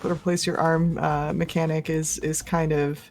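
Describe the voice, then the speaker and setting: American woman, slightly low voice, podcast co-host, tentative musing delivery, casual game talk. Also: little pitch movement, measured pace, darkish tone, faint music behind. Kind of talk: casual video game discussion.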